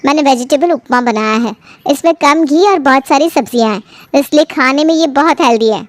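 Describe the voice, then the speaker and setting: A dutiful young daughter-in-law, played by a woman in a cartoon story. She is gentle and placating, with a high voice, recorded close and dry. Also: brisk, no room sound, lightly acted. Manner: polite, placating. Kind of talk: cartoon family story acting.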